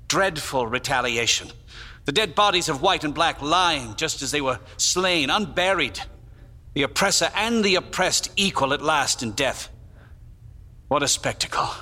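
An actor playing a grave, fiery nineteenth-century abolitionist publisher giving a solemn, declamatory dramatized news report. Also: male voice, a little high, pushed and bright. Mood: grave, vindicated. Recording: long hall-like reverb.

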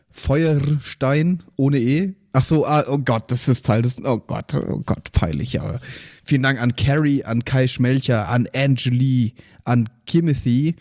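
A man's voice: medium pitch, a little mellow, dry recording, clean studio sound. A man hosting a podcast, casually ad-libbing thanks in a playful, self-mocking, slangy way. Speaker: podcast host. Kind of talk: casual ad-libbed thank-you list.

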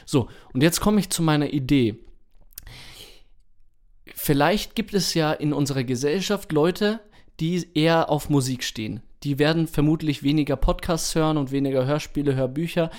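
A man with a voice a little higher than usual co-hosts a podcast. He talks in a casual, conversational style. His wording is colloquial and peppered with slang. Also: moderate pace, a little mellow. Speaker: podcast co-host. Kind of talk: casual chat.

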